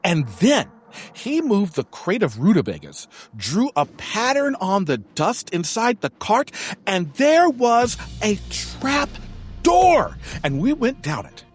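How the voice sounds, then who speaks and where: A man's voice: high-pitched, huge pitch swings, takes it slowly, breathy edge, heavily acted. A man playing a theatrical young adventurer in an audio drama, narrating a quest in florid, dramatic style. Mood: dramatic storytelling. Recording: music behind the voice.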